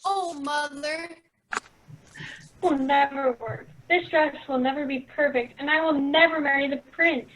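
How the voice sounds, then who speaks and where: A woman's voice: high-pitched, fairly even intonation, measured pace, a bit bright, slight background noise, low-quality recording. A woman playing a whiny, pampered young daughter, sulky in a children's theatre staged reading.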